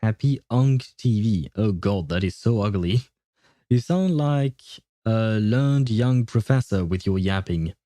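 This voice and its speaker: A man's voice: fairly low pitch, expressive, unhurried, dark tone, dry recording. A man hosting a casual gaming livestream, rambling and interrupting himself as he chats and grumbles playfully with viewers. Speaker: livestream host, gaming streamer.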